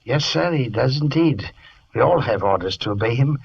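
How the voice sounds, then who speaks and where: An actor playing a courteous, deferential Victorian butler, formal and slightly hesitant, in an old radio drama. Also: male voice, mid-range voice, expressive, normal speed, vocal fry, husky, full character voice. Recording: muffled, noisy old radio recording.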